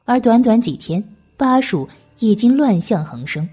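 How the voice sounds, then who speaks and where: A woman reads a historical novel with expressive, literary phrasing. Her voice sits fairly high and moves through animated intonation. The tone is mellow rather than bright, suited to dramatic storytelling. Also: measured pace, breathy edge, faint music behind. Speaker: audiobook narrator.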